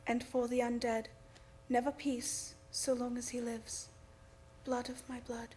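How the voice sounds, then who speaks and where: An actress playing a troubled young woman under a vampire's spell in a radio drama, dreamy and halting. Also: fairly high pitch, completely flat delivery, husky. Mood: grave. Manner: hushed, halting, trancelike. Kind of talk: old-time radio drama acting.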